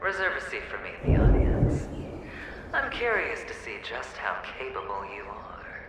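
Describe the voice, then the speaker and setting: A man's voice: mid-range voice, wildly swooping pitch, moderate pace. A man playing a mocking, theatrical phantom tormentor in a video game cutscene, taunting with gleeful relish. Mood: sly, challenging. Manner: taunting, theatrical, swooping between hushed and exclaiming. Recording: echoey, distant, loud background noise.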